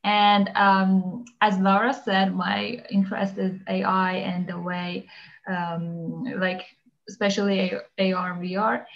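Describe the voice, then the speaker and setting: A woman's voice: medium pitch, vocal fry. A woman from Iran in her twenties, a student introducing herself politely in an online workshop over a video call. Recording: dull video call audio.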